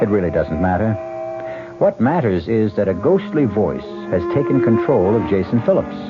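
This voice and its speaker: A man hosting a radio mystery series, giving wry, theatrical scripted narration. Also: on the low side. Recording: muffled old radio broadcast with loud music.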